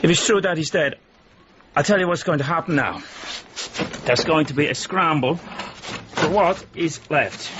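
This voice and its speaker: An actor playing a guarded, worldly man in a naturalistic radio drama, speaking in a measured, matter-of-fact, knowing way. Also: fairly high pitch, dull audio, noisy background, natural voice. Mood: knowing, resigned.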